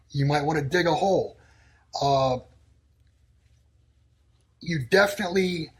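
A man speaking as a guest on a talk show, giving blunt, declarative warnings in short, clipped sentences. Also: fairly high pitch. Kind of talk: casual talk-show answers. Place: in Texas.

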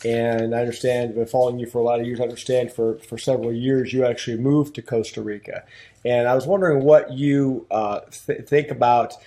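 A man's voice: mid-range voice, fairly even intonation, a little fast, dark tone. A man on a talk show asking a long question hesitantly, with many restarts.

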